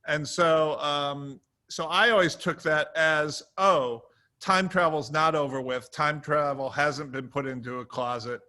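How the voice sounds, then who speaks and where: A man speaking casually on a panel as a writer, telling stories in an animated way and acting out quoted remarks. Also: fairly high pitch, unhurried.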